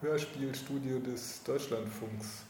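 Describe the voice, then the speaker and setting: Guy doing a casual interview, average pitch, keeps rewording stuff, roomy echo. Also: slow, a little mellow. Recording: echoey room, clear background noise.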